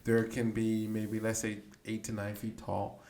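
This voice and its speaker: A man's voice: lowish voice, completely flat delivery, measured pace, a little mellow. A young man from the Bahamas with a Bahamian accent, co-hosting a casual podcast and telling stories in a laid-back way, trailing off and restarting. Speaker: podcast co-host. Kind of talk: casual chat.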